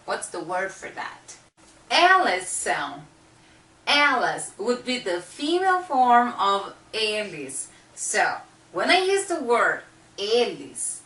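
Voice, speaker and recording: A woman from Brazil with a Brazilian accent, patiently teaching a language lesson and repeating words slowly. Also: a little high, sharp tone. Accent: Brazilian Portuguese. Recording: echoey room, mic at a distance.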